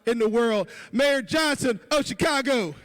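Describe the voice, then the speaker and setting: A man's voice: high-pitched, a little fast. A man from Philadelphia hosting a political breakfast, telling a folksy anecdote before introducing the next speaker. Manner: folksy, with fillers. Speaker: event host introducing speakers. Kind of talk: political speech, storytelling. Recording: reverberant hall on a PA.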